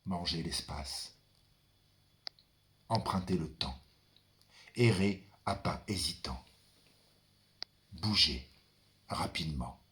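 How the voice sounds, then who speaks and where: A man performing a theatrical monologue as a brooding son, the ferryman of the dead, addressing his father in a deliberate, dramatic, incantatory manner. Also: low-pitched, pushed and bright, exaggerated voice. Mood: solemn, yearning. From France. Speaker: stage performer.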